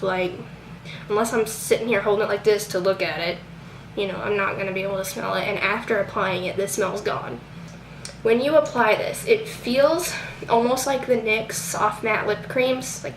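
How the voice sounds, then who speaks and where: A woman's voice: fairly high pitch, far from the mic. A young woman giving a casual, rambling product review with lots of fillers, sounding a bit nasal from a cold. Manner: chatty, rambling with many fillers. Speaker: beauty vlogger. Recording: echoey room, off-mic, faint hiss.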